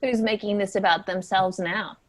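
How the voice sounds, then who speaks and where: A woman's voice: medium pitch, steady tone, normal speed, no echo, natural voice. A woman playing a sensitive, guilt-ridden and defensive office worker in a staged play reading.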